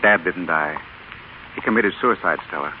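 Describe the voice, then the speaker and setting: An actor in an old radio drama playing a gentle, lonely gentleman in love, speaking softly in a measured, tender way. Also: deep voice, a little hiss or hum, natural voice. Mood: sombre. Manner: soft-spoken, tender, measured. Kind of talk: 1930s radio drama acting. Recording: thin, tinny old radio broadcast.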